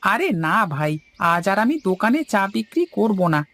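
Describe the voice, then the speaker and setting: A woman voicing a chatty, businesslike male village tea seller in a cartoon, brisk and familiar. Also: mid-range voice, big pitch swings, a bit bright. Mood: breezy. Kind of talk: cartoon voice acting.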